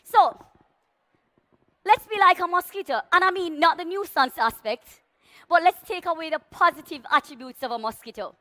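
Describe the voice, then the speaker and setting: A woman's voice: very high voice, moderate pace, bright, forceful tone. A Jamaican woman giving a passionate motivational talk at a conference, with a big voice, leading the audience in call-and-response. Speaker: conference speaker. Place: large conference hall stage.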